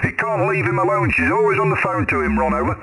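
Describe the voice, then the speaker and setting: A man's voice: medium pitch, huge pitch swings, quick pace. A man chatting casually and in a rambling way as an amateur radio operator over the air. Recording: faint radio hiss and clicks.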